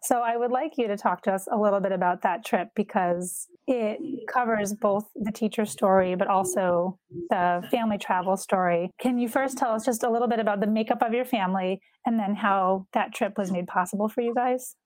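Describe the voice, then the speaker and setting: A woman's voice: medium pitch, no echo, rough recording. Heartfelt woman host, dry close sound. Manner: warm, heartfelt, slow and deliberate. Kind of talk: interview hosting.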